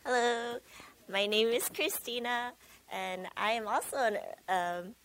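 An American woman on campus ministry staff, introducing herself hesitantly and softly, with pauses. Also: a little high, slight background noise. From United States. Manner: hesitant, soft-spoken, unsure phrasing. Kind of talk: panel self-introduction.

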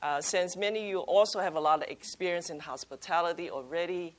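Halting upbeat woman leading a workshop. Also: on the low side. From China. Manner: halting, many fillers, upbeat. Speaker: campus ministry leader. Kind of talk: workshop introduction.

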